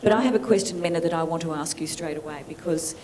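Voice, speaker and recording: A woman in her fifties moderating a conference Q&A with a handheld mic, chatty and warm, often restarting her sentences. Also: low-pitched, fast talker, background noise. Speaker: event moderator. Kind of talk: moderating a panel Q&A. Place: large conference hall with audience.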